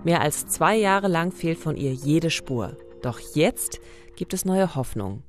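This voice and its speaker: A woman's voice: sits low, animated, takes it slowly, faint music behind. A woman co-hosting a true-crime podcast in a conversational, narrating style.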